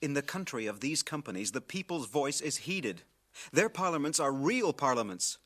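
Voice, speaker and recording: An actor playing an idealistic foreign reporter in a radio drama, earnest, indignant and emphatic. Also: male voice, mid-range voice, very animated, normal speed, fairly bright tone. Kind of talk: 1980 radio drama acting.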